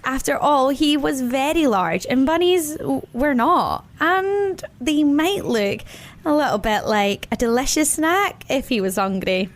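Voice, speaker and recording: A woman narrating a children's story expressively, with wry, playful asides and dramatic pauses. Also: high-pitched, animated.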